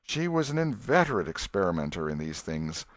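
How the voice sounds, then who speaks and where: Guy narrating an old novel, lowish voice, really animated intonation, measured delivery, dry sound. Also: dry recording. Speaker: audiobook narrator. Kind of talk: scripted storybook narration.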